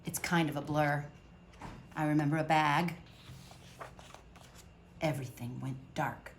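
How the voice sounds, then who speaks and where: A woman reading a picture book aloud to children at storytime, expressive, with dramatic pauses. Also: low voice, slight background noise.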